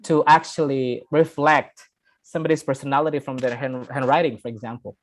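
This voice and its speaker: Man, Indonesian accent, lecturer, animated, laughs often. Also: medium pitch, fairly quick. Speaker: English lecturer. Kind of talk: online class discussion.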